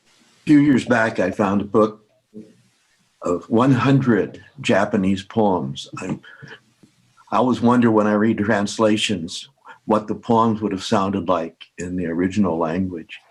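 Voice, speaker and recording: A man speaking and reading aloud at an informal poetry reading, halting and unhurried, with pauses. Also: fairly low pitch, slightly rough, rough recording.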